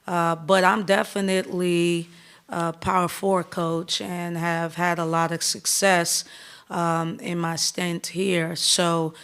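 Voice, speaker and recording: A woman coach in her thirties from the Bahamas, answering press questions in an upbeat, candid way with quick restarts. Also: on the low side, level tone, a bit bright, clean tone. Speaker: head basketball coach.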